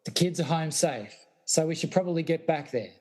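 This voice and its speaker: Laid-back friend for a radio play man acting, raised pitch, understated, a bit worried. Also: fairly quick, a little mellow, lightly acted. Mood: mildly worried. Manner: casual, understated.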